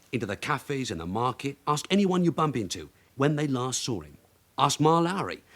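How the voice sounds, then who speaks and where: An actor playing a shrewd, dogged, middle-aged detective sergeant in a radio crime drama, blunt and wry. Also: male voice, medium pitch. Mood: brisk, commanding.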